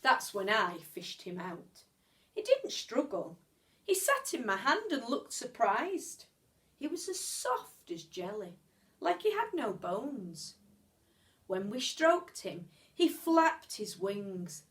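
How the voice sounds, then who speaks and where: A woman reading a children's story aloud like a teacher, expressively, with measured pauses and stretched words. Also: on the high side, big pitch swings.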